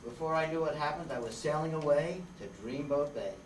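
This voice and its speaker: A man's voice: fairly high pitch, brisk, natural voice. A man playing a wisecracking, hard-boiled private eye in an old-time radio drama, slangy and sardonic. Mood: wry narrating. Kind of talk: old-time radio detective narration and dialogue. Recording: roomy, noisy, distant microphone stage recording.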